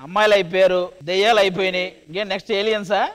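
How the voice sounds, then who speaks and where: A man with a Telugu Indian accent doing loud, exclamatory, teasing comic banter on a stage show. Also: sits high, steady tone, very fast. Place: TV studio stage. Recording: loud music behind.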